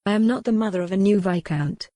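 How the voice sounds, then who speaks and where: A woman's voice: medium pitch, fast, warm tone, no echo, clean studio sound, lightly acted. Frail, wry young noblewoman, formal speech , read by a woman narrator.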